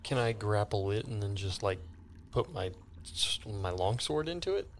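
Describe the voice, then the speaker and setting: A man playing a tabletop game, chatting casually and haltingly as he thinks aloud. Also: fairly low pitch, expressive, a little hiss or hum.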